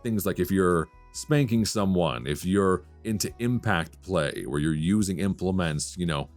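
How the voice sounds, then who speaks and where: A man with a low, deep voice hosts a late-night style podcast. He talks in a thoughtful, measured and articulate way, as a practised voice actor chatting to listeners. Faint guitar music plays behind him. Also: a little mellow. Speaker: podcast host.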